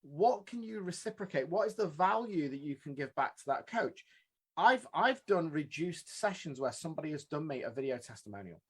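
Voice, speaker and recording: Husky-voiced male podcast host. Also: on the high side, sing-song, brisk. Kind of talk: podcast interview.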